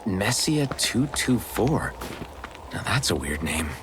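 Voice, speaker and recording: An actor playing an earnest, curious young adventurer in a video game, talking straightforwardly. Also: male voice, on the low side, sing-song, slow, noisy background. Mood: puzzled. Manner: inquisitive, straightforward.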